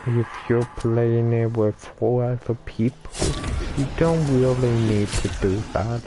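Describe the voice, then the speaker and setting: A man giving casual gameplay commentary in a halting, stuttering way, with many restarts and fillers. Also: mid-range voice, steady tone, background noise.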